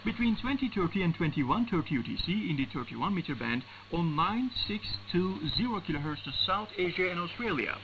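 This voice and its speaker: A man's voice: sits high, expressive, a little fast, few pauses. Male radio announcer over noisy shortwave. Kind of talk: scripted station announcement. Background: static and radio noise.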